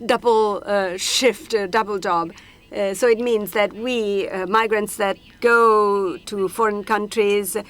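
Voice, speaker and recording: A woman interpreting a panel discussion simultaneously, halting often with hesitations and restarts as she renders each speaker. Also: medium pitch, fairly bright tone. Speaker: conference interpreter.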